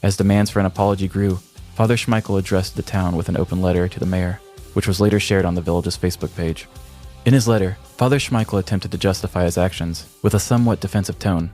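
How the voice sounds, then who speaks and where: Low voiced guy co-hosting a podcast, telling stories and chatting, bit of music behind him. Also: steady tone, quick pace, darkish tone. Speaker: podcast co-host. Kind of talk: scripted story narration and casual banter.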